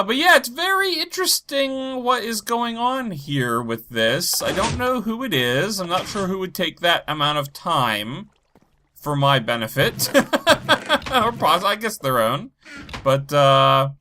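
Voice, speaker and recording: A man with a head cold, stuffy and a bit low energy, rambling as he talks through his game and thinks aloud. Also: sharp tone, slight background noise. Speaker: Minecraft let's play host. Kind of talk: casual gameplay commentary.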